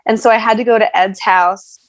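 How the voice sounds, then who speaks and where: American woman guest telling stories casually, animated, self-deprecating, mid pitch, dry recording. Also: fairly quick, no room sound. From United States. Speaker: guest, actress and singer. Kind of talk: casual interview chat.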